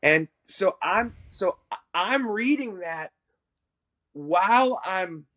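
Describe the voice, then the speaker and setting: A middle-aged man, a worship musician and podcast guest, telling a personal story in an animated, self-amused way. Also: high voice, takes it slowly.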